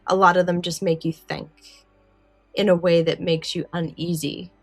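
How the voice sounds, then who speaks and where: Low-pitched woman co-hosting a casual podcast. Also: level tone, normal speed, no room sound, faint music behind. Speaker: podcast co-host. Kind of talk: casual discussion of a story.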